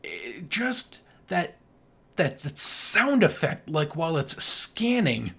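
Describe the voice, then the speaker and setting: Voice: mid-range voice, wildly swooping pitch, slow pace, fairly bright tone, husky. An enthusiastic podcast co-host in casual film discussion, given to acting out sound effects and swearing casually.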